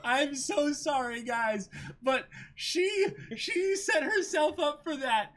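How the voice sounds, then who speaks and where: A man's voice: very high-pitched, slow, a bit bright. A young man co-hosting a dramatic reading, giving casual, joking commentary indoors. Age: young adult. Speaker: co-host of a manga dramatic reading. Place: indoors, in front of a backdrop.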